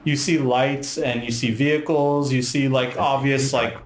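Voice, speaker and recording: A man runs a tabletop role-playing game, describing scenes in casual speech. His voice sits at an ordinary pitch and carries a clear room echo. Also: fairly even intonation, a little slow. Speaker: game master. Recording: echoey room.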